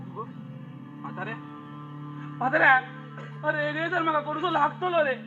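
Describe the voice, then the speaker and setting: Under loud music in a dull recording, a male actor plays a village elder with a very high voice and a Malvani accent. He pleads and admonishes in emphatic, repeated phrases, in theatrical one-act play style. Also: moderate pace. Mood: reproachful, resigned. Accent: Malvani Konkani.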